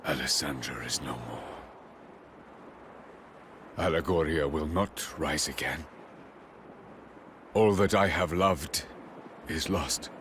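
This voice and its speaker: A man's voice: very deep voice, takes it slowly, pauses often, fairly bright tone, slight background noise, heavily acted. An actor playing a proud, regretful fallen king in a video game, speaking in solemn, archaic, theatrical phrases. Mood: anguished, bitter.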